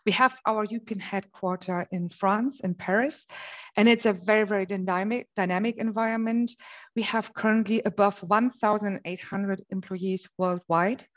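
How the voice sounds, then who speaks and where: German woman talking through slides on a video call, measured with lots of ums, kinda dull audio. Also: medium pitch, fairly even intonation. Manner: measured, with frequent "um" pauses. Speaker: marketing manager presenting. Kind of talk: webinar presentation. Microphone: webcam on a video call.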